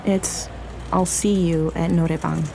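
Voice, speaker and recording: A woman's voice: on the low side, fairly even intonation, unhurried, creaky, background noise. An actress playing an anxious, smitten young woman with a wry, fretful manner in a naturalistic audio drama. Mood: subdued, sad. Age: young adult.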